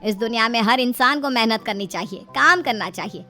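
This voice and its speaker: A woman's voice: a little high, fairly quick, sharp tone, faint music behind. A woman voicing a shrewd, caring middle-aged mother-in-law in a cartoon moral story, speaking in an emphatic, pleading, motherly way. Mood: lecturing. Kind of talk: acted dialogue in a Hindi moral-story cartoon.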